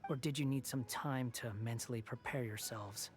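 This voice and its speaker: A man's voice: medium pitch, steady tone, normal speed, close to an everyday voice. A man voicing a smug, youthful ex-villain in a video game, with a taunting, mock-polite manner. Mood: condescending. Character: smug, snarky youthful male ex-villain, centuries old. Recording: game music behind.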